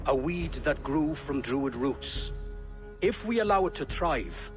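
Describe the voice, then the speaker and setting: A man playing a grave, distrustful adviser at a royal court in a video game, with a husky voice. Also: fairly high pitch, lively intonation, slow, soft background music. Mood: accusing. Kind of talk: dramatic video game acting.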